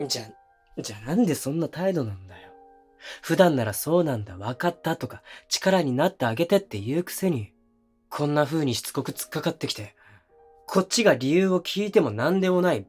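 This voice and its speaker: A man's voice: a little high, sing-song, fairly quick, slightly rough. Man acting a blunt young boyfriend, music behind. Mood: irritated. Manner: blunt, casual masculine speech. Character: defensive, hot-tempered young boyfriend quarrelling with his lover. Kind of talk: situation voice drama addressed to the listener. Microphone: close binaural microphone.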